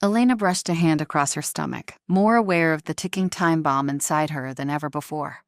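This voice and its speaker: Low-voiced woman narrating drama, dry studio sound. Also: brisk, no room sound. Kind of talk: dramatic scripted audio story narration.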